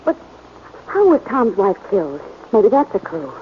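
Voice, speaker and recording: A woman's voice: mid-range voice, very animated, moderate pace, natural voice. An actress playing a detective's eager, sympathetic, girlish woman sidekick in a 1940s radio drama. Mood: sympathetic, curious. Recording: dull, noisy old 1940s radio broadcast.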